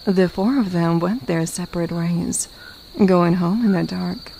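Mellow, lower-pitched woman narrator. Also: measured pace, gravelly, a little hiss or hum, poor audio. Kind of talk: scripted story narration.

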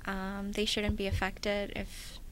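A woman's voice: mid-range voice, completely flat delivery, slow. A woman in her twenties giving tentative, casual interview answers into a handheld microphone. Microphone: handheld microphone held out by interviewer. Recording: faint background noise, clicks.

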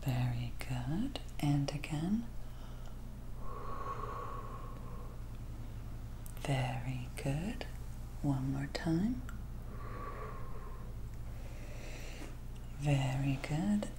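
Woman as a slow, whispering, sinister doctor. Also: low voice, lively intonation, background noise. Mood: softly coaxing. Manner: slow, hushed, coaxing. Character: sinister, teasing female doctor in Halloween roleplay. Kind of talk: whispered ASMR roleplay. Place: dim living room at night. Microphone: close to the mic.

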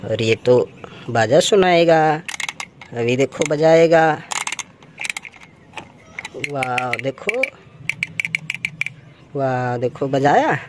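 A man's voice: mid-range voice, animated, extremely slow, long pauses, noisy background. A man improvising children's toy-play narration in an exclamatory, sing-song way full of repeated interjections. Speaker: toy channel presenter.

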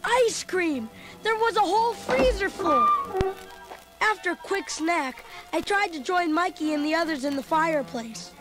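A woman's voice: very high-pitched, animated, measured pace, poor audio. A woman voicing a chubby, food-loving boy who narrates a storybook record with chatty, comic asides. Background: music.

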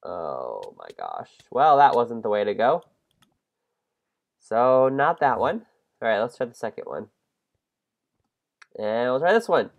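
A young man with a head cold and a congested voice, hosting a casual game let's-play, excitable and self-mocking. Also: medium pitch, a little slow, gravelly, pauses often, highs cut off. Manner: excitable, self-deprecating, shrieks at mistakes. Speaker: gaming channel host.

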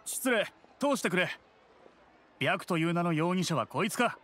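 An actor playing a stern, dutiful young city guard in a Japanese video game, speaking firmly and officially. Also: male voice, high voice, animated, normal speed, fairly bright tone. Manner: official, firm.

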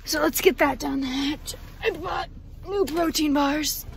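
A woman's voice: sits high, fairly even intonation, slow, vocal fry, slight background noise. An eighteen-year-old woman vlogging casually from a parked car, chatty and self-mocking, swearing freely. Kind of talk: casual vlog chat. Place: parked car at night, indoors. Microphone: phone camera held or propped close.

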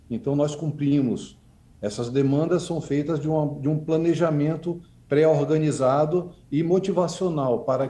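Middle-aged community leader, earnest and halting, mellow tone, echoey webcam audio. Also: male voice, mid-range voice. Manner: earnest, halting with many fillers. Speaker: community association president. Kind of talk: radio interview over video call. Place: office with shelves. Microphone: video call webcam. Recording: echoey room over video call.

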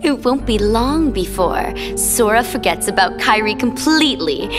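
Young female game villain: sadistic, mocking, lively sing-song taunts over clear music. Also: on the high side. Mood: mocking admiration. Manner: taunting, drawn-out mock sympathy. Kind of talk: video game cutscene acting.

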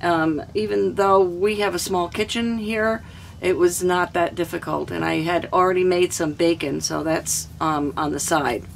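An older woman with a hoarse throat, chatting casually through a cooking vlog in a small camper kitchen. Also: on the low side, measured pace, a little hiss or hum, low-quality recording. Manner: chatty, homey, rambling. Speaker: cooking vlogger.

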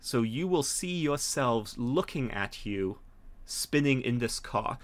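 A middle-aged man narrating a tabletop game as game master, conversational and halting as he thinks it through. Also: mid-range voice, expressive. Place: home room, indoors, on a video call.

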